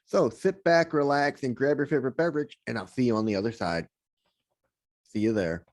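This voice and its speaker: A man's voice: mid-range voice, brisk, a little mellow. A man hosting a podcast, reading a friendly intro and asking short questions. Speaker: podcast host.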